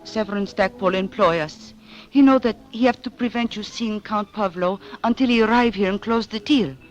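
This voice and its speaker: An actress playing an alluring young foreign femme fatale in an old-time radio drama, with a foreign accent and careful broken English. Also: female voice, medium pitch, normal speed, muffled recording, faint music behind, close to an everyday voice. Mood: explaining.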